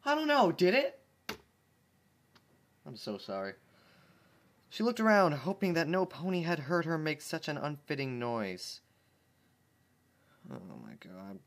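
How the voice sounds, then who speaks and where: A man's voice: fairly high pitch, sing-song, measured pace, long pauses. A man reading fan fiction aloud at home, breaking off for sarcastic, exasperated commentary. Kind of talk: dramatic reading with comic asides.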